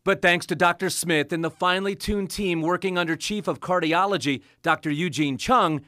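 A man's voice: high voice, normal speed, sharp tone, no echo, clean studio sound. A male news reporter reading a scripted voice-over with emphatic storytelling delivery.

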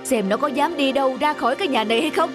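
A domineering middle-aged father from a cartoon played by a woman. He speaks sternly and with authority, with music clearly behind him. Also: high voice, fast, lightly acted. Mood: angry. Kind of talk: cartoon dub acting.

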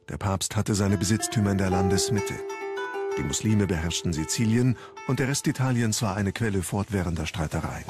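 Male documentary narrator, lowish register, measured storyteller reading from script, music bed underneath.

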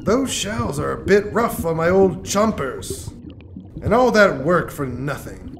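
A woman puts on a hungry big bad shark for a puppet show. He schemes in drawn-out, theatrical menace, his pitch swooping widely over clear background noise. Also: big pitch swings, full character voice. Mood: grumbling. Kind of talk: children's puppet show character.

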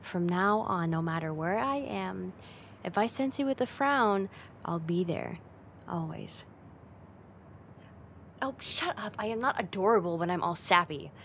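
Casting: actress as teasing young female roommate, ASMR roleplay, unhurried, mid-pitched, playful and affectionate, close-miked with some background noise. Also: animated, fairly bright tone. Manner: teasing, intimate, giggly, slow and coaxing.

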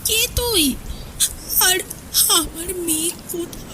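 A woman's voice: extremely high pitch, slow pace. A woman playing a deranged, distraught village mother in an audio story, pleading and confused about a lost daughter. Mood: tearful confusion. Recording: noisy rain-like ambience over voice.

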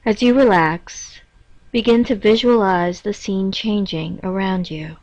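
A woman reading a scripted guided meditation in a measured, instructing manner with pauses between phrases. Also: medium pitch, a little mellow. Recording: dull, roomy echoing lo-fi recording.

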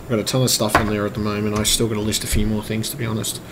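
A man with a croaky, strained voice, giving a casual, hesitant, rambling update like a vlogger. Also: fairly low pitch, completely flat delivery, racing. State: strained voice, throat-clearing. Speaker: vlogger and computer repairer. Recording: clearly noisy room recording.

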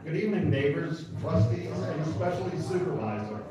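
A man's voice: fairly high pitch, expressive, fairly quick. An American man delivering a prepared, formal and pointed public comment at a meeting. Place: large indoor meeting room, from far off. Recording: echoey meeting hall, distant, crowd noise.

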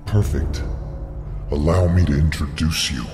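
Male actor as condescending mystery villain for audio drama, unhurried drawn-out delivery, cavernous reverb with music. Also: rumbling low, steady tone. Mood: smug, sinister. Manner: condescending, deliberate. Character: sly, mysterious otherworldly man, condescending and unhurried. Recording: echoey hall, music underneath.

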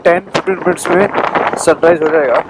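A man in his thirties with an Indian accent, casually narrating a motorcycle ride in a relaxed, chatty way and reading out times and distances. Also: on the high side, quick pace. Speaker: motorcycle vlogger. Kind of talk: casual ride commentary mixing Hindi and English. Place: on a motorcycle on a highway at night and dawn, outdoors. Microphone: helmet-mounted action camera mic. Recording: loud wind and engine noise on a moving motorbike.

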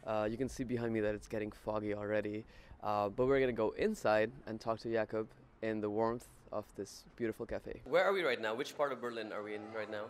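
A man's voice: on the low side, fairly even intonation, fairly quick, hardly any pauses. A young man hosting casual interviews on a city street and in a cafe, asking friendly, short questions into a handheld mic. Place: outdoors on a city street at night, then in a cafe. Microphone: handheld mic held toward guests.

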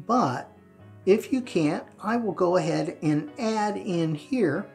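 A man in his fifties presenting an instructional demonstration to camera in a deliberate, emphatic way, recorded in a home bathroom. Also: sits high, darkish tone. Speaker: presenter of a wet shaving channel. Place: small tiled home bathroom, indoors.

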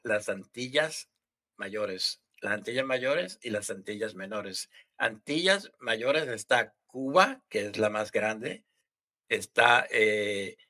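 Older Puerto Rican man, bright voice. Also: medium pitch. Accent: Puerto Rican Spanish. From Puerto Rico. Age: 60s. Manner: halting, repeats words while explaining. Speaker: guest. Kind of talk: casual interview answers. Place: home room, indoors. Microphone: headset mic on video call.

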